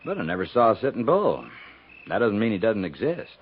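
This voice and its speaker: A man's voice: on the low side, sing-song, moderate pace. A man playing a brooding, plainspoken frontier Indian agent in a 1950s radio western, with a folksy, ominous delivery. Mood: uneasy, emphatic. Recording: dull, noisy 1950s radio recording.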